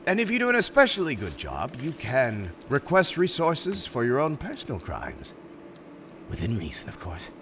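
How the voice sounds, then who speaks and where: A man's voice: medium pitch, wildly swooping pitch, normal speed, fairly bright tone, slight background noise, pitched above the performer's own voice. A man playing an urbane, smug supervillain in an ASMR roleplay, speaking in a courteous, theatrical and emphatic way. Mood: smug, businesslike. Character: urbane, smug male supervillain interviewing a prospective minion.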